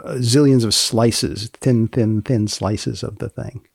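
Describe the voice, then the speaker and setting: A man hosting a podcast, prompting in a deadpan, conversational way with short questions. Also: mid-range voice, slow pace, vocal fry, slightly rough. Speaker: podcast host.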